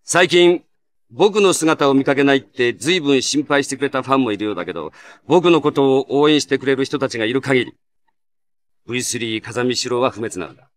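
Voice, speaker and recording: An actor playing a friendly, earnest masked hero who talks to children like an encouraging big brother in a video game. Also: male voice, medium pitch, a little fast, lightly acted. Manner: clear, emphatic, addressing children directly. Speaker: hero narrator of a card quiz mode. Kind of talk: scripted game dialogue addressed to a young player.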